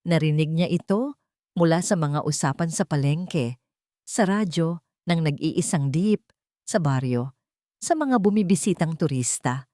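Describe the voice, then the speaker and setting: A woman tells a romantic drama in steady, scripted narration. Her voice sits low for a woman, and the recording is close and completely dry. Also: no room sound. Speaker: storyteller narrator.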